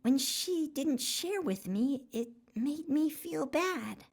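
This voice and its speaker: A woman's voice: high voice, animated, takes it slowly, voice pushed up, heavily acted. A woman voicing an earnest, polite little boy in a children's storybook read-aloud. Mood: hurt, sad.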